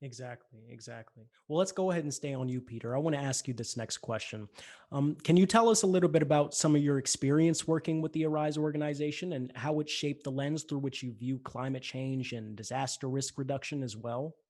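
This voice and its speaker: A man's voice: mid-range voice, a little fast, a little mellow. A man hosting a podcast interview, politely thanking guests and asking them questions. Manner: polite, encouraging, asks questions. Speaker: podcast host.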